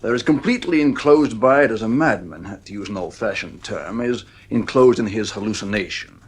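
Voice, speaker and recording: An actor playing a blunt, assured psychiatrist in an audio drama, with an urbane, professional manner. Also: male voice, lowish voice, animated, fairly quick, natural voice. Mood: assured, explanatory.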